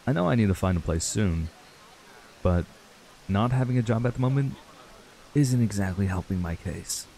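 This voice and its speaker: A man acting a stressed, earnest young runaway in an intimate audio roleplay, speaking haltingly with sighs. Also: lowish voice, expressive, vocal fry, warm tone, poor audio. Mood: weary, hesitant. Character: stressed young man, frustrated runaway reuniting with childhood friend.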